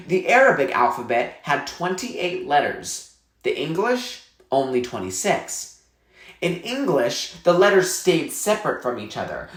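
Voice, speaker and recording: A man narrating a story in a scripted read-aloud style. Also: on the high side. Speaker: reader reading a novel aloud. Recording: echoey room.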